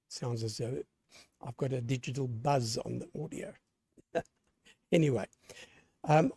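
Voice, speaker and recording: A hoarse man running an amateur radio net, talking in a lively on-air way and spelling callsigns with the phonetic alphabet. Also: medium pitch, no room sound. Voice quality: gravelly.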